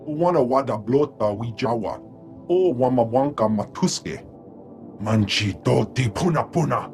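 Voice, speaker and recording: Stern alien master, clipped syllables. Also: male voice, mid-range voice, animated, measured pace, darkish tone, soft background music. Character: stern alien Jedi master speaking an invented alien tongue. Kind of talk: video game cutscene acting.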